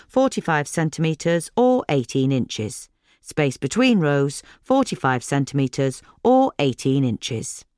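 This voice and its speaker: A woman reading a scripted instructional piece in a measured, clearly enunciated way, as a magazine narrator. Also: sits low, dry recording, clean studio sound.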